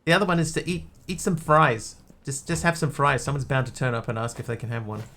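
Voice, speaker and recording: A man's voice: medium pitch, fairly even intonation, fast, sharp tone. A man with a mixed Australian and New Zealand accent, chatting casually as a game streamer and answering his chat. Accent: Australian-New Zealand hybrid. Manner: rambling, easygoing, answers chat.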